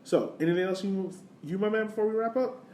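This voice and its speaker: A man's voice: high voice, a little fast, darkish tone. An American man co-hosting a podcast, chatting casually about sports. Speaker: podcast co-host. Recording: roomy, distant mic, faint background noise.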